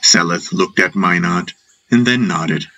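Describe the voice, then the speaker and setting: A man narrating a fantasy audiobook in a measured, dramatic storytelling style. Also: low voice, sharp tone, rough recording.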